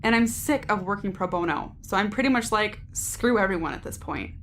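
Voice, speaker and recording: An actress playing a tough, world-weary, sardonic ex-enforcer in an audio drama, brisk and sarcastic. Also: female voice, mid-range voice, animated, fairly bright tone. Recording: clear background noise, ambience.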